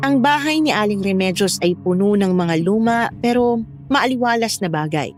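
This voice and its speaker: A woman's voice: mid-range voice, animated, moderate pace, music in the background. A woman reading a scripted first-person horror story as its narrator.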